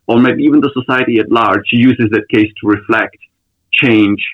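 A man in his thirties from the Czech Republic, a lawyer being interviewed on a podcast, answering thoughtfully with many pauses and restarts. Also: medium pitch, steady tone, no room sound, narrow, tinny audio. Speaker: lawyer, guest.

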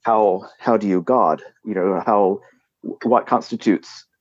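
A man with a low voice talks in a reflective, halting way, circling back and restarting as he reaches for words. His recording sounds dry and close, with a dull, muffled tone. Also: a little mellow, no echo. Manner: halting, many restarts and "you know" fillers. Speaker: podcast guest. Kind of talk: reflective conversational explanation.

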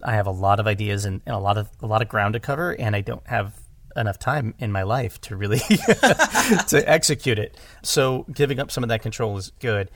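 Man, gravelly fry, close dry sound. Casual podcast talker with self-deprecating, animated asides. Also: lowish voice, a little fast, dry recording. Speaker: podcast guest, audio drama writer and director. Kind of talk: casual conversational discussion.

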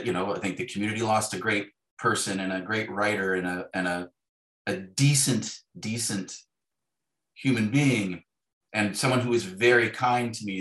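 A middle-aged Canadian man talking reflectively and casually as an interview guest, halting and searching for his words. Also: fairly low pitch, fairly bright tone. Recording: roomy, echoey voice pickup.

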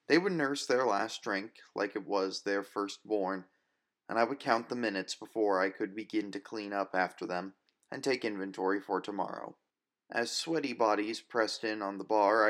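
A man narrating first-person fiction as an audiobook reader, with a measured, wistful, literary delivery. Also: fairly low pitch, a bit bright.

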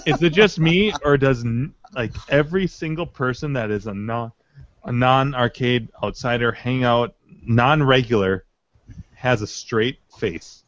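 A man's voice: mid-range voice, measured pace, a bit bright, no echo. A man co-hosting a podcast in casual banter, cracking crude jokes and stammering over repeated words. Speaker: podcast co-host. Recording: dull lo-fi audio.

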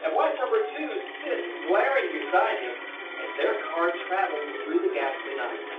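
An actor playing a dramatic old-time radio announcer, narrating in a theatrical, declamatory way on a live stage. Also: male voice, high voice, very animated, normal speed. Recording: echoey, distant, dull live stage audio, music behind.

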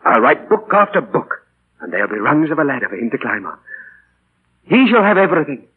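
A man's voice: on the high side, very animated, brisk, husky, full character voice. An earnest, dramatic doting father. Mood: rapt, tender. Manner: earnest, theatrical, hesitant when moved. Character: doting, indulgent father and novelist, earnest and idealistic. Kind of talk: 1940s radio drama acting with diary narration. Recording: muffled old 1940 radio broadcast, music behind.

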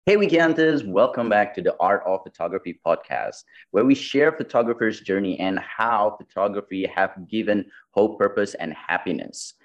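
A man's voice: on the low side, lively intonation, moderate pace, dull audio, faint music behind. A man hosting a podcast interview over a video call, friendly and hesitant, with many fillers. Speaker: podcast host. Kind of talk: conversational interview. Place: Bali.

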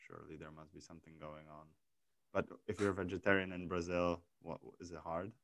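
A man's voice: sits low, little pitch movement, fairly quick, a bit bright, no echo. A man hosting a casual chat, asking curious questions with frequent stammered restarts. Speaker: host.

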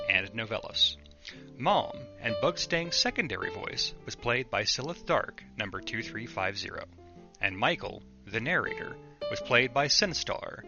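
A man announcing podcast credits in a scripted reading. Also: medium pitch. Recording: slightly dull recording over guitar music. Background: guitar music.

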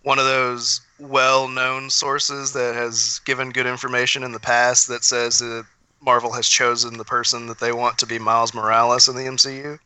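Male podcast panelist, crisp and very forward tone, informal conversational delivery. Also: medium pitch, little pitch movement, normal speed, sharp tone, no room sound, rough recording. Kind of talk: casual pop-culture chat.

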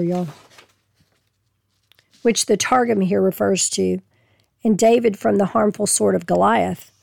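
Woman Bible teacher, hoarse and folksy, reads verses slowly, gravelly voice. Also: mid-range voice, mellow, dry recording. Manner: folksy, reads scripture slowly then explains plainly. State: losing her voice. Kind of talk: casual Bible teaching with scripture reading.

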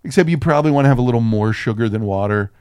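A man's voice: mid-range voice, very fast, darkish tone, smooth, no echo, studio quality. A man co-hosting a film podcast in casual comedic banter, irreverent and sweary, slipping into mock voices as he riffs. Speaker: podcast co-host reviewing films.

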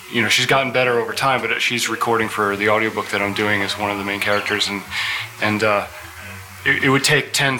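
A man talking casually on a live panel, explaining things through anecdotes in a conversational way. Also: on the low side. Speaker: audio drama producer and panelist. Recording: noisy live room recording.

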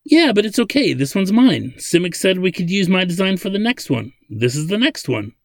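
Casting: adult male narrator as sheepish boy whiz, higher pitch, eager, pleading, hesitant, storybook drama. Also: sing-song, normal speed, creaky, no echo, studio quality, exaggerated voice.

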